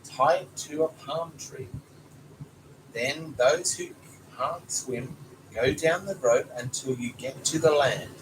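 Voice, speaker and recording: A man's voice: fairly high pitch, slow pace, distant mic. A man voicing a bossy, instructive parrot from a children's storybook. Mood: commanding. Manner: commanding, instructive. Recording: noisy home recording with background sounds.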